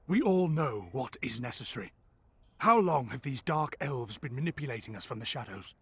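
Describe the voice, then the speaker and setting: A man's voice: mid-range voice, very animated, normal speed, slightly rough, exaggerated voice. A man playing an earnest, formal peace envoy in a fantasy video game, speaking in a declamatory way. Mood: grave. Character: earnest, formal male envoy brokering peace between nations.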